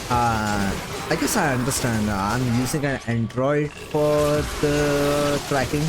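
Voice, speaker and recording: A man's voice: mid-range voice, takes it slowly. A man, not a native English speaker, chatting casually while streaming a game, rambling with lots of fillers and little laughs. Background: game music.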